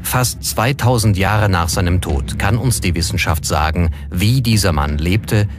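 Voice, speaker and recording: A man's voice: low-pitched, measured pace. A man reading scripted documentary narration. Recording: music under the voice. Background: background music.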